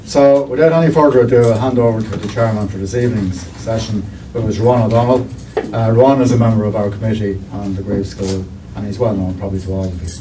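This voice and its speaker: A man's voice: lowish voice, steady tone, quick pace, noisy background. A man making informal, hesitant announcements at the start of an event. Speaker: event organiser. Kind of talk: announcements to an audience. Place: lecture room with audience. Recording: distant mic, audible audience.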